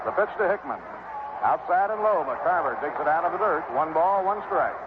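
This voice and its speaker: A man with an American accent and a fairly high voice calls a baseball game as a radio announcer. His commentary is classic 1960s play-by-play. The sound is narrow and tinny, buried in heavy noise from an old ballpark broadcast. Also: expressive, moderate pace. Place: outdoor ballpark broadcast position.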